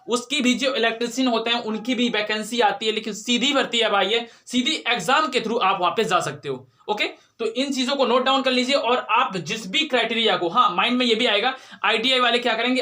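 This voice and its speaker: A man's voice: extremely high pitch, bright, forceful tone. A man teaching in an informal explanatory way, repeating key points and answering his own questions. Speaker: exam coaching teacher.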